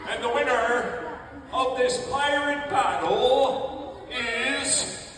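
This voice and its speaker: A man presenting a playful live show for children, speaking haltingly and checking in often. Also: very high-pitched. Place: large indoor school assembly hall. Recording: very echoey noisy hall, crowd, far off.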